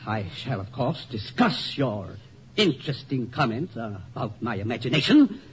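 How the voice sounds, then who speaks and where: A man's voice: medium pitch, sing-song, normal speed, natural voice. An actor playing a stiff, formal college board chairman in a 1950s radio comedy, starchy and officious. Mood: coldly formal. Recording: muffled, faintly noisy 1950s radio recording.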